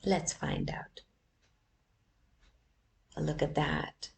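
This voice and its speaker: A woman's voice: low voice, sing-song, drawn-out words, vocal fry, a little hiss or hum. A woman reading a children's story aloud and often stopping to ask her young listeners questions.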